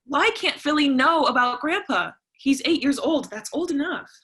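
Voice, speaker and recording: A woman's voice: sits high, lively intonation, moderate pace, fairly bright tone. A woman playing a grieving, defiant eighteen-year-old American daughter in a play reading, emotional and argumentative. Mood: defiant, accusing.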